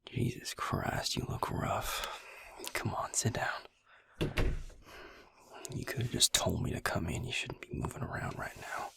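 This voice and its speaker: A man playing a gruff, caring vampire healer in an intimate roleplay audio, speaking in a hushed, breathy, gravelly murmur, blunt but tender. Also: takes it slowly, long pauses, in a whisper. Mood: gruff, scolding. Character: gruff, caring adult male vampire healer, protective and exasperated.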